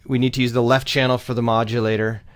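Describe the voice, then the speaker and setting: A man presenting a step-by-step software tutorial in an explanatory, instructional way. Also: medium pitch, flat delivery, fast talker.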